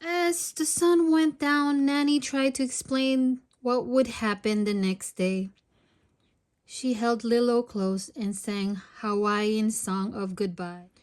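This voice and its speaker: A woman with a high voice reads a storybook aloud for children. Her narration is expressive, in the manner of a storytime host. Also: slow pace.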